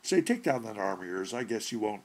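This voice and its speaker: A man voicing a brash, folksy burglar in a short story reading, with gravelly, husky voice and blunt, colloquial delivery. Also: fairly low pitch, big pitch swings, fairly quick. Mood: chummy, complaining. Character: brash, folksy, slangy male burglar with rheumatism.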